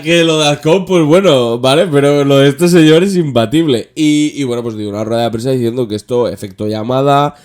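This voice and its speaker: A man with an accent from Spain hosting a casual, joking political chat, sarcastic and halting in delivery. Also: mid-range voice, darkish tone. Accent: Spanish from Spain. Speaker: podcast host.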